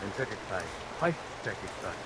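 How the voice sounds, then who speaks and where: An actor playing a menacing, tyrannical villain barber in a Victorian melodrama, oily and mock-polite with sharp threats. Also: male voice, lowish voice, big pitch swings, moderate pace. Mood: oily, domineering. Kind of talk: Victorian melodrama radio acting. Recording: vintage radio recording under rain noise.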